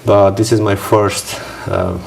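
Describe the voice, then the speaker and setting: A man with an Armenian accent hosting a TV talk show interview, speaking halting English full of fillers and restarts. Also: fairly low pitch, completely flat delivery, a little mellow, a little hiss or hum. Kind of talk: studio interview.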